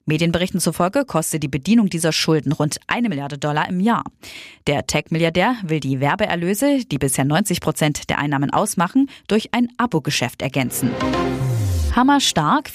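A woman's voice: on the low side, no echo, studio quality. A woman reading tabloid news in an upbeat, scripted delivery. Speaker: newsreader.

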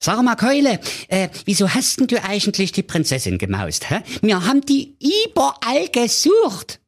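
A man voicing a vain, dim-witted young prince in a squeaky falsetto with a Saxon accent. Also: huge pitch swings, normal speed, full character voice. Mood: goofy, excited.

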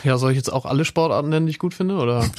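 A man's voice: fairly high pitch, racing, a little mellow, no echo, studio quality. A man chatting casually as a guest, in a laid-back and understated way. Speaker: professional handball player, guest. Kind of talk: casual chat.

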